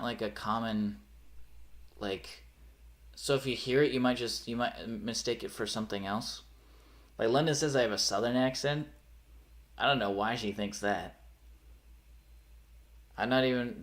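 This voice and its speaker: A man's voice: medium pitch, moderate pace, long pauses. A young man talks loosely to his live-stream audience in a mid-range voice, with a light rural Pennsylvania accent. He rambles, corrects himself mid-sentence and lets thoughts trail off. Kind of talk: casual live-stream chat.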